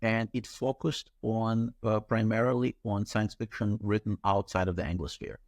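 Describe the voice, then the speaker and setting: Brief: middle-aged man from Ukraine, native Russian speaker, lowish voice, steady articulate answers, dry sound. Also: moderate pace, no room sound. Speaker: author and editor, guest. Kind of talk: interview answers. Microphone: video call.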